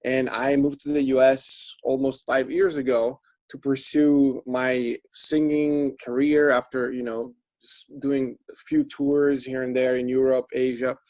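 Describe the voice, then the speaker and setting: A man from Italy with an Italian accent, chatting as a guest in an interview, halting and restarting his sentences. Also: mid-range voice, little pitch movement, a little mellow, no room sound. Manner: halting, restarts often, laughs between phrases. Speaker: guest filmmaker and rock singer. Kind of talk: casual interview chat.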